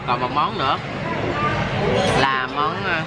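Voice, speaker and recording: A man's voice: on the high side, slow, over a noisy crowd, heavy background noise. Chatty Southern Vietnamese man in a busy buffet. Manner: chatty, playful, talks to viewers while eating. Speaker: food vlogger. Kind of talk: casual food review vlog. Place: large indoor buffet restaurant, busy. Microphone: handheld camera at arm's length.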